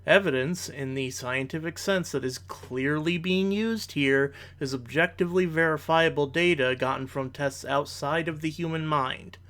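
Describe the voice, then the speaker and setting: A man's voice: a little high, moderate pace, hardly any pauses, fairly bright tone. A man delivering scripted rebuttal commentary in formal wording, with an analytical and bluntly critical manner. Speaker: commentator, video host.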